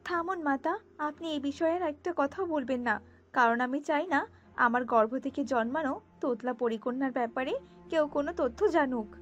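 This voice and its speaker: Woman voicing a high, haughty fairy queen. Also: normal speed, lo-fi sound, soft background music, lightly acted. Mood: angry, defensive. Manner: haughty, defensive, commanding. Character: proud, cold fairy queen and mother, image-obsessed. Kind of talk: cartoon voice acting.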